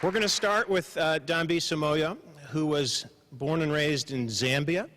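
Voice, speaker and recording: A man moderating a panel, giving formal introductions with dry humor, measured and pausing for emphasis. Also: on the high side, background noise. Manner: measured, slows for emphasis, frequent uh fillers. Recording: long hall reverb.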